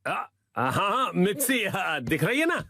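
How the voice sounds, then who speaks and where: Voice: medium pitch, sing-song, a little slow, pushed and bright, no room sound, clean studio sound, heavily acted. An actor voicing a henpecked cartoon husband, hesitant, stammering and placating, with music behind. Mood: flustered.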